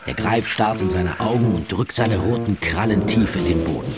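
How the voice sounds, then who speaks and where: A grave radio-play narrator with a very deep voice, performed by a male actor, tells a visionary tale. The delivery is intense and incantatory, with music clearly running underneath. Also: moderate pace, lightly acted. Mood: hushed, awed. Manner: intense, incantatory, swinging from hushed to forceful.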